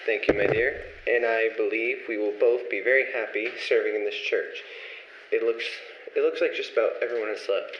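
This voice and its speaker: A man playing a kind, earnest young pastor in an amateur old-time radio play, speaking gently and with measured care. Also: on the low side, fairly even intonation, lightly acted. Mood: warm, contented. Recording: echoey, muffled, noisy amateur recording.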